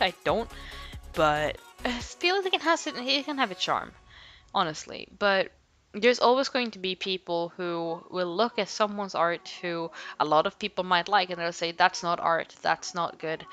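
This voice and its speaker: Bright-voiced young woman, rambling. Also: lowish voice, animated, normal speed. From Sweden. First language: Swedish. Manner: rambling, frequent "like" fillers, self-laughing asides. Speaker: art vlogger. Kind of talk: casual talk to camera. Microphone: home microphone. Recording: music playing under the voice. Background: background music.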